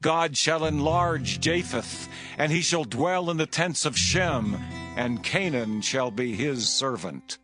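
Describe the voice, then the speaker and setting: Guy doing an old bible patriarch, solemn and really slow, declaiming over guitar music. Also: fairly high pitch, animated. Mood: solemn, blessing. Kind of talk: dramatised scripture reading. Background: plucked guitar music.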